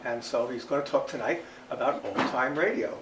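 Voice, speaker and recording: A man with an American accent introducing a guest speaker in an informal, halting way. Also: mid-range voice, fairly bright tone. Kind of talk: event introduction. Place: meeting room. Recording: echoey room, distant mic, faint noise.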